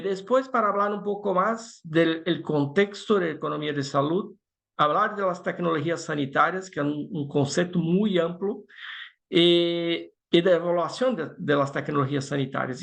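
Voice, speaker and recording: A man from Brazil speaking with a Brazilian Portuguese accent as a guest expert, presenting in a webinar and haltingly searching for words in a language that is not his native Portuguese. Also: fairly high pitch, gravelly, fairly bright tone.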